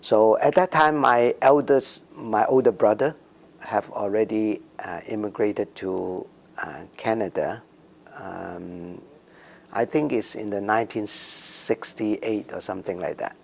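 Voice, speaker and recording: Slow-speaking man in his sixties, gravelly. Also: lowish voice, a little hiss or hum. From Macau and Hong Kong. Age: 60s. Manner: slow, hesitant, many ums and restarts. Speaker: interviewee. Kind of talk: oral history interview.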